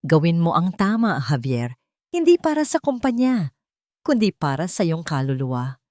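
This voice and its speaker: A woman's voice: fairly low pitch, very animated, moderate pace, a little mellow, dry recording, clean studio sound. A woman voicing a dying old father in an audio story, solemn and pleading. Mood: solemn, urgent.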